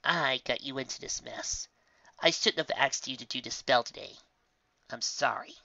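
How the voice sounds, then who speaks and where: A man's voice: mid-range voice, vocal fry, bright, forceful tone, no echo, lo-fi sound. Man as a flustered, nervous young pony magician mare. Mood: sheepish. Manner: flustered, halting. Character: nervous, proud young female pony magician, grudgingly kind.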